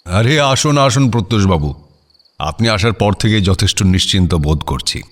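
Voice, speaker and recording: An actor playing the genteel, anxious head of a landed family in a radio drama, courteous and hesitant. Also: male voice, lowish voice, animated, moderate pace. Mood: relieved, welcoming. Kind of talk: radio audio drama acting.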